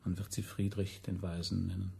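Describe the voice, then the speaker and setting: Very raspy court adviser. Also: male voice, low-pitched, level tone, brisk, darkish tone, full character voice. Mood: sly, persuasive. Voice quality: raspy, gravelly. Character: shrewd, deferential male court adviser to a prince. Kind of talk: German radio drama acting.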